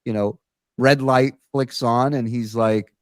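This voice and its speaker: A man's voice: medium pitch, fairly even intonation, takes it slowly. A man hosting a casual talk show, telling stories in a blunt, profane way. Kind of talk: casual conversational storytelling.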